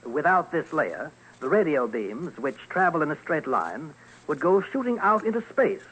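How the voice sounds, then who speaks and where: An actor playing an earnest scientist in a radio documentary, explaining things emphatically. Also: male voice, a little high, sing-song, normal speed, fairly bright tone, breathy edge, heavily acted, poor audio. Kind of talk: old-time radio documentary acting.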